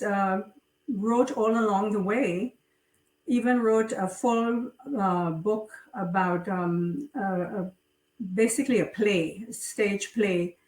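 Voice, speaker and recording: A middle-aged woman from Trinidad, an author talking about her writing in an interview, slowly, with many fillers and pauses. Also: medium pitch. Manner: slow, hesitant, many fillers. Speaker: guest author. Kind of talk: interview conversation. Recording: roomy, distant from mic.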